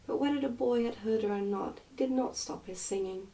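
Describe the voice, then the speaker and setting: A woman gives an ASMR reading of a children's storybook. Her tone is soft and dark with noticeable fry, and she sits a little way from the mic. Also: medium pitch, a little fast, distant mic, rough recording. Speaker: reader, ASMR channel host.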